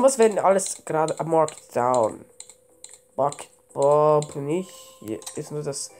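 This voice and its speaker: A woman's voice: fairly low pitch, mellow. A woman with a cold giving offhand, casual commentary while playing a game at home. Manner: offhand, mixes English game terms. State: has a cold. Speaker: let's play gamer.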